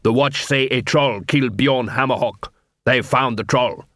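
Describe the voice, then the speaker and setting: A man's voice: medium pitch, measured pace, fairly bright tone, dry recording, clean studio sound, lightly acted. A man as a curt, hostile dwarf fighter. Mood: accusing. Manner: curt, accusing. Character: hostile, gruff male dwarf street fighter.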